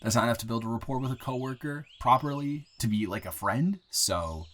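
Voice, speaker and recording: A young man acting an earnest, smitten guy new in town in an improvised audio roleplay, speaking intimately and haltingly with repeated words. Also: medium pitch, fairly bright tone, lightly acted. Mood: earnest, tender. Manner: halting, rambling, with repeated words and nervous chuckles.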